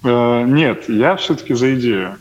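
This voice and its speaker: A man's voice: mid-range voice, expressive, unhurried. A young man chatting casually as a panel guest, hesitant and thinking aloud as he answers. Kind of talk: casual chat, answering questions. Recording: muffled, noticeably noisy sound.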